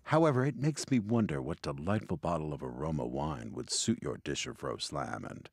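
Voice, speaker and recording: A man hosting and narrating a radio ghost-story show with dramatic, hushed storytelling. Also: very deep voice, expressive, normal speed, vocal fry, husky, no room sound, clean studio sound. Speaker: narrator and host.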